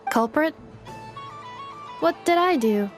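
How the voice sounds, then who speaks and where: A woman's voice: high-pitched, lively intonation, slow pace, music in the background. A woman playing a composed, formal, analytical young woman in a video game, precise and unruffled. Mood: unruffled defense. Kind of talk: video game cutscene acting.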